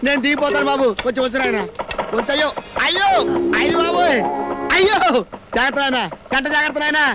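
A man's voice: extremely high pitch, huge pitch swings, fast, heavily acted, low-quality recording. An actor playing a frantic, scheming man in a film comedy, wailing and pleading melodramatically. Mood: panicked. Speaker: comic lead in a film. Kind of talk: slapstick film comedy acting.

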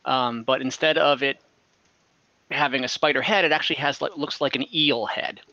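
A man working as game master, narrating a tabletop role-playing game in a casual, halting, conversational way over a video call. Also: medium pitch, fairly even intonation, pushed and bright, no echo. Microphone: computer microphone on a video call. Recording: dull, compressed online call audio.

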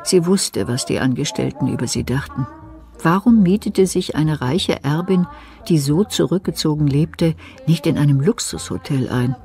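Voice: mid-range voice, lively intonation, normal speed, gravelly, mellow. A narrator giving a dramatic scripted reading in a German radio thriller.

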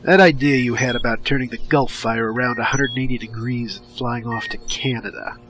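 Guy playing tough commanding official in an audio drama, mid pitch, clipped orders, noisy background. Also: steady tone, fairly quick, natural voice. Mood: urgent, hard. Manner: terse, authoritative, clipped short sentences. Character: hard, commanding government official briefing a prisoner.

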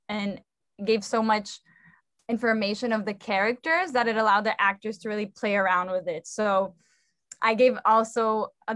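A young woman explains things as a theatre director would on a panel. Her voice sits at a middle pitch, and the video call sounds dry, with little room echo. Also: fairly bright tone. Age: young adult. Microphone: video call microphone.

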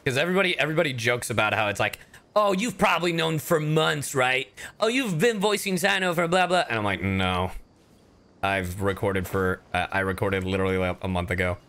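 Animated, bright-voiced male streamer. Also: medium pitch. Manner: casual, animated, halting mid-thought. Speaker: streamer and voice actor. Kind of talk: casual livestream chat over gameplay.

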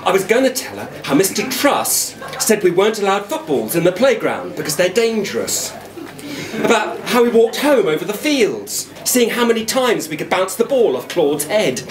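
Middle-aged man theatrically reading a children's story. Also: high voice. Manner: expressive, deliberate, dramatic pauses. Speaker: actor reading a children's book. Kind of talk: theatrical storybook narration in a schoolboy's first person. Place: wooden-beamed indoor venue at a live reading. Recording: echoey room, live event.

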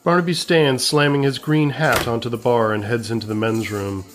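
A man's voice: medium pitch, fairly even intonation, moderate pace. Man narrating over faint music. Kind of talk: scripted narration.

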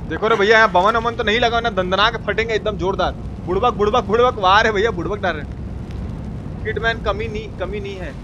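A man's voice: sits high, a little fast, highs cut off, background noise. A man with a Bihari accent giving colloquial, slangy live gameplay commentary, playfully repeating his phrases. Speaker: game streamer.

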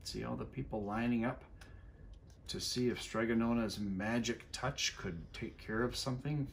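A man in his fifties reading a picture book aloud for children, expressive and pausing to explain things. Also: mid-range voice, gravelly, a little hiss or hum. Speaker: school superintendent reading a storybook. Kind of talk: children's story time read-aloud. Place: living room armchair by a fireplace, indoors.

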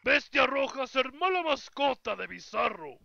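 A man's voice: very high voice, very animated, unhurried, vocal fry, pushed and bright, dry recording, highs cut off, exaggerated voice. A man with a Latin American Spanish accent voicing a dim-witted, childish, blunt superhero clone who talks in broken grammar, in a video game fandub. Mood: indignant. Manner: broken caveman grammar with bare infinitives.

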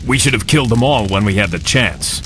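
An actor playing a ruthless, arrogant young heir to a warlord clan, speaking imperiously and with menace in a video game. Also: male voice, fairly low pitch, animated, fairly quick, noisy background, natural voice. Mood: cold, possessive. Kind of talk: video game cutscene acting. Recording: dramatic game music behind.

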